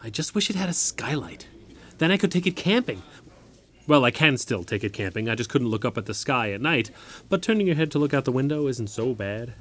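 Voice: mid-range voice, big pitch swings, brisk, natural voice. An actor in an audio comedy-drama playing an earnest, quirky, chatty neighbor who rambles naively and startles easily. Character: earnest, quirky, chatty neighbor accompanying an injured older woman.

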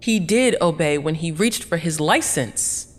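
A woman's voice: sits low, animated, a little slow. An actress playing a defensive, upset female witness giving testimony in a courtroom audio drama. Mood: defensive, reluctant. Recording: reverberant room.

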